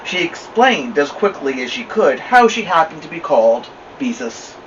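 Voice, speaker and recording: A man with a slightly high voice narrates a children's story very expressively, his pitch moving widely. He sounds some way from the mic, in a slightly dull recording with faint noise. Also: very animated, normal speed, distant mic. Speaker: storybook reader.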